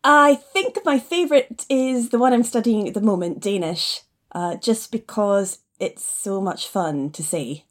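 Female podcast guest, mid-pitched voice, conversational teacher answering questions. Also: unhurried. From Scottish Highlands, Scotland.

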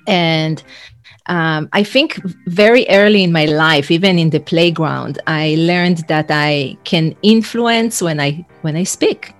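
A woman's voice: sits low, slow pace, faint music behind. A woman from Israel whose first language is Hebrew, reflectively telling her life story as a guest over a video call, often restarting her sentences. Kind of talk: conversational storytelling.

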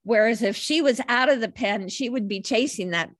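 A woman's voice: on the high side, moderate pace, no echo, clean studio sound. An older woman who is a life coach and podcast guest, telling stories in a reflective, meandering way and often correcting herself mid-sentence. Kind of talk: casual conversational storytelling.

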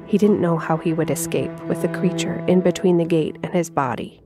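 A woman writer reading her own horror story aloud in a measured, suspenseful way. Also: on the low side. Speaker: writer and narrator. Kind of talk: scripted horror story narration. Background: music.

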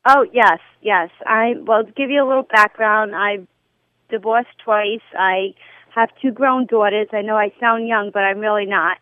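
A woman's voice: medium pitch, normal speed, dry recording. Woman, fifties, young-sounding voice, radio caller, casual chat with ums, tinny phone line. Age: 50s. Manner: chatty, with frequent ums and small laughs. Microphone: telephone.

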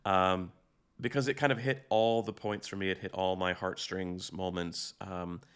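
A man hosting a podcast in a conversational interviewing style, haltingly repeating phrases as he goes. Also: low-pitched, fairly even intonation, fairly bright tone, clear, resonant tone. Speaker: podcast host.

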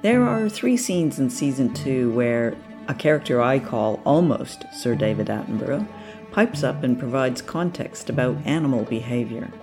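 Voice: medium pitch, expressive, moderate pace, music in the background. A presenter giving scripted narration that introduces a series of auditions.

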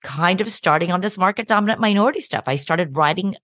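A middle-aged woman, a law professor and author, answering interview questions in an animated way and laughing often. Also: fairly low pitch, quick pace, dry recording, clean studio sound. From United States.

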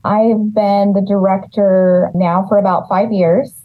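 A woman's voice: mid-range voice, fairly even intonation, measured pace. A woman from California, a city development director, earnestly explaining her work as an interview guest. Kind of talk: interview answers. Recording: dull lo-fi audio.

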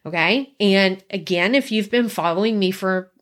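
A woman in her fifties, a life coach telling a personal story in a slow, reflective way with repeated phrases. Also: mid-range voice, fairly bright tone. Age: 50s.

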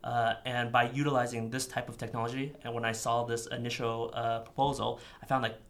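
Flat-toned young man explaining technology. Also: mid-range voice, a little fast. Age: young adult. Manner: methodical, technical. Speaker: PhD student researcher.

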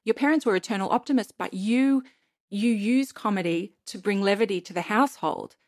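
A woman's voice: mid-range voice, fairly quick, no room sound. A woman interviews her guest in a mid-range voice, recorded close and dry with no room sound. She asks long, empathetic questions and often restarts phrases while building up to them.

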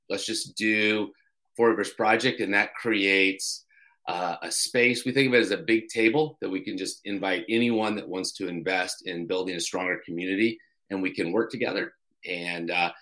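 Middle-aged man, from Colorado, deep voice, bright tone, halting with fillers, church pastor. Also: rough recording. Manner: halting, many "uh"s and restarts. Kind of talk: interview guest.

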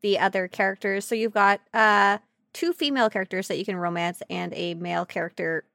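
A woman's voice: medium pitch, steady tone, fairly quick, creaky, bright, forceful tone, dry recording, clean studio sound. A woman co-hosting a podcast, chatting casually about a video game in a gushing, playful, informal way. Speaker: podcast co-host.

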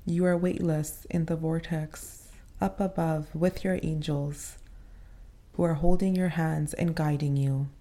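Female meditation host: low-pitched, relaxed tone, soothing delivery in short, spaced phrases. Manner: soothing, unhurried, pausing between short phrases. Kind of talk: slow guided meditation.

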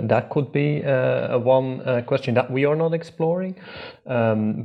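A man's voice: medium pitch, gravelly, dark tone. A man from Belgium with a Belgian Dutch accent, a researcher answering interview questions hesitantly with many filler sounds. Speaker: researcher guest. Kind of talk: academic interview.

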